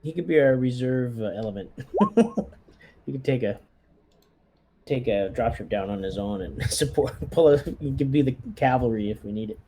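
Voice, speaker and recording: A man's voice: medium pitch, animated, moderate pace, mellow, a little hiss or hum. A man talking casually as a player who leads the squad in a tabletop roleplaying game.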